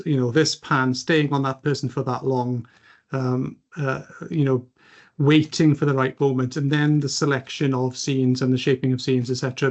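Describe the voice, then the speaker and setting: Hesitant man giving a seminar. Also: medium pitch. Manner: hesitant, with many ums and repeated words. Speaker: media researcher and lecturer. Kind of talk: academic seminar talk.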